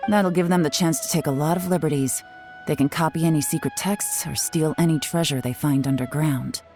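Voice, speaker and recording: A woman playing a shrewd, composed investigator in a video game, speaking in a measured, knowing way with cool amusement. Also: sits low, steady tone, a little mellow, soft background music, close to an everyday voice. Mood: cool, analytical. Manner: measured, knowing, sly deductive delivery.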